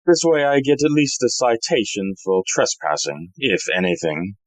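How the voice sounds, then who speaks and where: An actor playing a suave, scheming gentleman thief in an audio play, with an unruffled, smooth-talking manner. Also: mid-range voice, very animated, moderate pace, no echo, natural voice.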